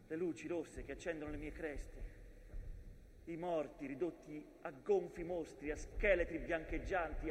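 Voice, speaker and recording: A man's voice: on the high side, a little mellow, slight background noise, close to an everyday voice. Man acting war-scarred land, in a reverberant hall. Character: the war-scarred land itself, weary narrator of trenches. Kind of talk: theatrical monologue. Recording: long reverberant theatre hall.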